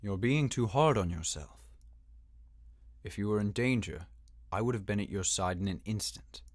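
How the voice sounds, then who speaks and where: A man's voice: on the low side, expressive, moderate pace, no echo, studio quality. A man playing an earnest, dutiful young knight in a game fan dub, speaking in a serious, slightly formal manner. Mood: reassuring. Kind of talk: acted game dialogue.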